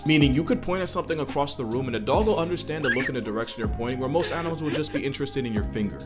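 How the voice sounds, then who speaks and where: A man narrating a list video in a scripted, informative way, over loud guitar music. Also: mid-range voice, animated, rapid-fire.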